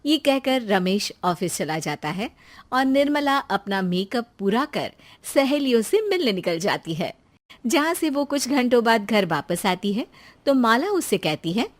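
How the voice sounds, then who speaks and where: Female narrator for a scripted moral story, voice on the high side. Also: very animated, unhurried.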